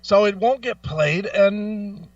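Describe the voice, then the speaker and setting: A man's voice: sits high, takes it slowly, dry recording, recorded from a distance, highs cut off. A man co-hosting a casual radio talk show, arguing his opinions bluntly and with plenty of swearing. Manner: blunt, profane, argumentative.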